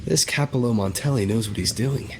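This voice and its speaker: A man's voice: medium pitch, fairly quick, noisy background, lightly acted. A man voicing a composed, wary hero in a video game, thinking aloud in a reflective, questioning way. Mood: intrigued. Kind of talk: scripted game monologue.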